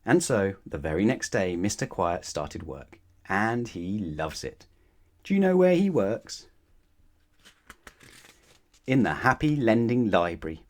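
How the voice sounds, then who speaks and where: Animated lowish-voiced man reading a bedtime story. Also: takes it slowly, pauses often. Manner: addresses young listeners with questions. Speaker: storybook reader. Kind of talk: expressive children's bedtime story narration.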